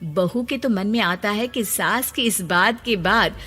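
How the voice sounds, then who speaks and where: A woman tells a cartoon story in an unhurried, animated way. Her voice is bright, with long reverb and music underneath. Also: mid-range voice, husky. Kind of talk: scripted narration of a cartoon moral story.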